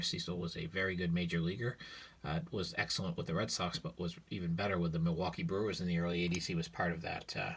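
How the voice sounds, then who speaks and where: A man with a very deep voice, made husky and raspy by a cold. He talks in a relaxed, rambling way full of fillers, like a casual home vlog shot on a phone. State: hoarse from a cold. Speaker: sports card collector vlogger. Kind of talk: casual show-and-tell vlog. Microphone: cell phone.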